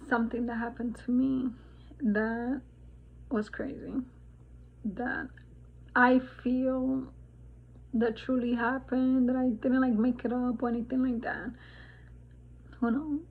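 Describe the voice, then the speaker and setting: A woman in her forties from the Dominican Republic, chatting casually in a spiritual vlog, rambling with many fillers and laughs. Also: fairly high pitch. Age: 40s. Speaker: vlogger. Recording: tinny phone-like sound.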